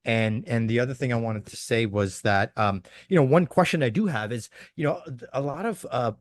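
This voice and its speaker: A man's voice: mid-range voice, fairly quick, no room sound, studio quality. A man from Toronto asks a question on a video call. He rambles, with many fillers and restarts. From Toronto, Canada. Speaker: participant, real estate agent.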